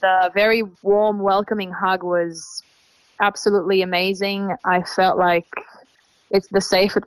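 A woman in her mid-twenties from Israel speaks with an Australian-sounding accent. Her pitch is average for a woman. She answers conversationally as a guest, in a dry recording without room echo. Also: flat delivery, a little slow. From Tel Aviv, Israel. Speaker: interview guest, young traveler.

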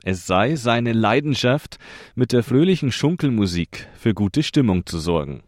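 A male radio presenter reading a scripted narration in a measured, even way. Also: mid-range voice, dry recording, clean studio sound.

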